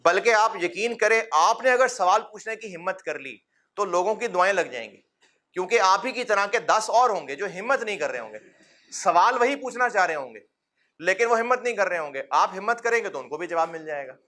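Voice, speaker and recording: Middle-aged man, accounting lecturer: bright, forward voice, pitched a touch high, coaxing and fatherly with the class. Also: expressive, a little fast. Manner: fatherly and encouraging, addresses students as son; rhetorical questions, gentle humor.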